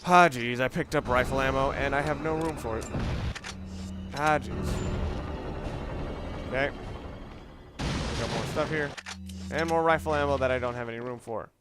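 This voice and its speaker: A man's voice: medium pitch, normal speed, pauses often, over loud music. A man doing casual, rambling video game commentary, informal and opinionated, with some mild swearing. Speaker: let's play commentator.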